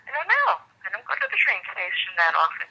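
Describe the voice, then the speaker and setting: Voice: mid-range voice, huge pitch swings, fast, bright, forceful tone, slightly rough. A person with a New York accent taking a phone call, speaking bluntly and brusquely. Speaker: prank call target. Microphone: telephone. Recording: dull phone line.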